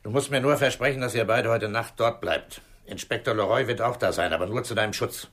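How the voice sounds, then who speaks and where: A man playing a calm, authoritative police inspector, terse and deliberate, in a 1960s German radio drama. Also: fairly low pitch, close to an everyday voice. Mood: reassuring, firm. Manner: terse, commanding, unhurried, deliberate.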